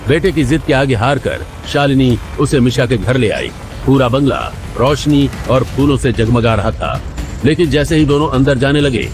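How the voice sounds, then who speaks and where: A man narrating a dramatic audio story in a scripted storytelling style. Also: medium pitch. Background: background music.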